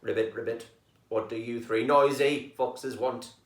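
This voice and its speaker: A storybook reader voicing a blunt pond frog in a low, croaky voice. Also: steady tone, unhurried, natural voice. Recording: roomy echo, voice far from mic.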